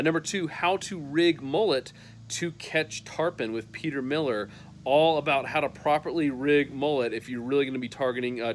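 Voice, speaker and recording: A man's voice: on the high side, fairly bright tone, slight background noise. A man in his thirties hosting a fishing newsletter roundup, talking casually and upbeat to a phone camera on an outdoor patio, with many "uh" fillers. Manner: upbeat and chatty, lots of "uh" fillers.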